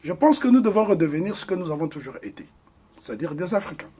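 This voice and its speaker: Man, high-pitched, husky, emphatic interview guest, hesitant fillers. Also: very animated, quick pace, dark tone. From Republic of the Congo. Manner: earnest, emphatic with many hesitations. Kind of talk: interview discussion.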